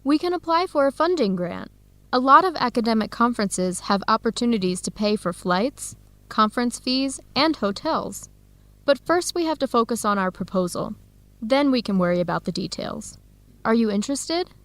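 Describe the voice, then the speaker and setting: A friendly young American researcher in a radio drama. Her voice is fairly high and recorded very dry, and she explains things clearly and encouragingly. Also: expressive, normal speed, a bit bright, no echo. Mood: explaining, encouraging. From United States. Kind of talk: scripted educational radio drama dialogue.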